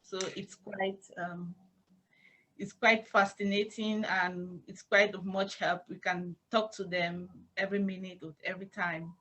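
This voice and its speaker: A woman with a Cameroonian accent answering interview questions as a church intern, in formal, halting, measured phrases. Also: mid-range voice, flat delivery, a bit bright, rough recording.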